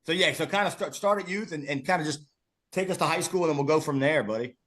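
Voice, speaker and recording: A man's voice: on the high side, fast talker, fairly bright tone. Rambling male podcast co-host. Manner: rambling, repeats words and restarts. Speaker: podcast co-host, former PE teacher. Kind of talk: casual interview.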